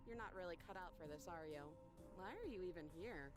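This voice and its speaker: An actress playing a sharp-tongued, impatient young captive princess in a video game, scornful and challenging. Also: female voice, fairly low pitch, animated, fast, soft background music, close to an everyday voice.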